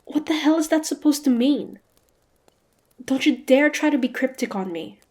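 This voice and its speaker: A woman playing a wary, sharp-tongued young demon hunter with a prickly but grudgingly softening manner, in a fantasy roleplay audio drama. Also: high-pitched, expressive, normal speed. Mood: indignant. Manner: guarded, sharp-tongued, tsundere.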